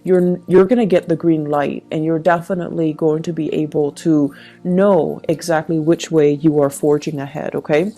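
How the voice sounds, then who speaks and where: Woman doing a tarot reading, low mellow voice, reassuring, music playing behind her. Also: fairly even intonation, normal speed. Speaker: tarot reader. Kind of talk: tarot reading addressed to viewers.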